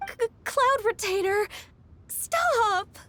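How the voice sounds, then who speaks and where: A woman voicing a gentle, polite, youthful half-immortal in a video game, courteous and earnest. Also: very high voice, expressive, drawn-out words, faint music behind, exaggerated voice. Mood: flustered. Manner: courteous, soft-spoken, earnest.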